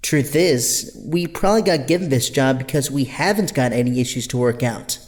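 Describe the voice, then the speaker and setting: A man playing a mild, conciliatory teenage boy in an audio drama, gentle and a little hesitant. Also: medium pitch, moderate pace, natural voice. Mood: conciliatory, resigned. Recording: long hall-like reverb.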